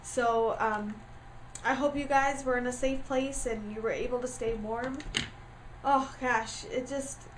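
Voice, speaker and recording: Chatty lady w a stuffy cold voice, kinda high, explaining crafts, faint room hum. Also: fairly even intonation, unhurried. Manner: chatty with frequent ums and uhs. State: congested with a starting cold. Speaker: craft tutorial host. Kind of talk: casual craft tutorial. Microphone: camera mic.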